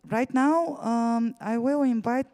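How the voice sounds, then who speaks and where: A man moderating a conference session in halting, effusive English with non-native phrasing. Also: very high-pitched, a little mellow, clean tone. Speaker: event moderator. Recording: reverberant conference hall.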